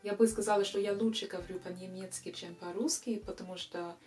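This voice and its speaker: Slow, careful English woman learner. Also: mid-range voice, darkish tone. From England. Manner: slow, careful learner's speech with frequent hesitations. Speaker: vlogger answering viewer questions. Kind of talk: casual Q&A vlog.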